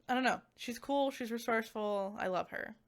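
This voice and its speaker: A woman giving a casual, conversational review to viewers, animated and peppered with "like" asides. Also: on the high side, normal speed. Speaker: visual novel reviewer.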